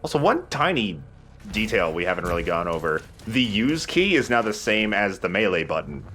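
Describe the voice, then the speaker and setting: A man's voice: lowish voice, sing-song, moderate pace, bright, forceful tone, slight background noise. A man hosting a let's play, giving casual commentary while he plays. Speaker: let's play host and player.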